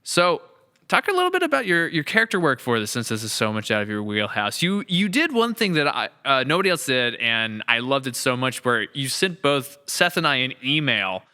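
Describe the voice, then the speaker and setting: Bright, clear-voiced man hosting a podcast. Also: medium pitch, sing-song, a little fast. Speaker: podcast host and series writer. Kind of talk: casual podcast interview.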